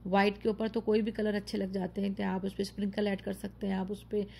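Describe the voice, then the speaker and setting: A woman's voice: medium pitch, little pitch movement, brisk, vocal fry, dark tone, slight background noise. A woman narrating her home cooking tutorial, chatty and full of praise for the dish, giving viewers step-by-step tips. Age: adult, mother of children. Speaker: home cook and channel host.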